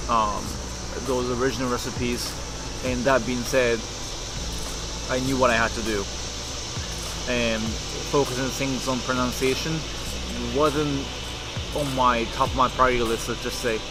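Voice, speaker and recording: A young man from Japan with a Japanese accent, casually talking to camera as a vlogger, in a relaxed, unhurried way, pausing to think with fillers. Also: medium pitch, over loud music, poor audio.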